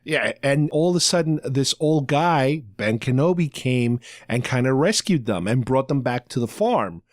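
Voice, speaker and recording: A man's voice: medium pitch, expressive, normal speed, no echo, clean studio sound. A man hosting a podcast, casually retelling a story in a chatty fan discussion style. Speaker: podcast host.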